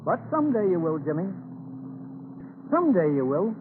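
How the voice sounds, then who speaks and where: A man's voice: sits high, sing-song, moderate pace. An actor playing a steadfast, reassuring farmer father with a folksy manner in an old radio drama. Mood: proud, tender. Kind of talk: 1930s radio drama acting. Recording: noisy tinny old radio recording, humming.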